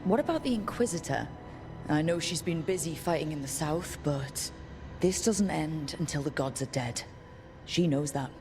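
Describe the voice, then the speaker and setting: Low-voiced, resolute war band leader. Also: female voice, expressive, normal speed. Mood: firm. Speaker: leader of the team. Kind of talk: video game voice acting. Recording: music behind the voice.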